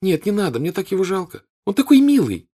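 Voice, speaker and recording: A man playing a squealing excitable teenage girl. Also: high-pitched, fast, pitched above the performer's own voice. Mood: pleading. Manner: breathless, squealing, insistent.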